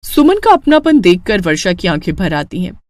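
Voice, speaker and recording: A woman's voice: lowish voice, big pitch swings, moderate pace, a little mellow, no room sound. A woman narrating a scripted family drama story.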